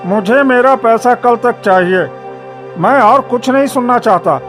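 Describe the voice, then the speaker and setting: A man's voice: extremely high pitch, a little slow, fairly bright tone, faint music behind. A man voicing a greedy, harsh village moneylender in a cartoon, speaking in a domineering, threatening way.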